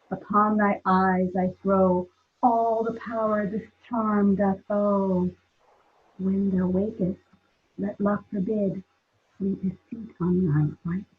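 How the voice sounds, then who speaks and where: A woman playing a mischievous fairy sprite chanting a charm in verse, deliberate, over muffled video-call audio. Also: medium pitch, close to an everyday voice. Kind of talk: stage verse read aloud at a table read.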